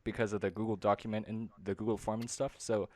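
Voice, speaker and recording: A man co-hosting a podcast, reading listener questions in a halting, self-correcting way. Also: fairly low pitch, level tone, a little mellow, dry recording. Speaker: podcast co-host.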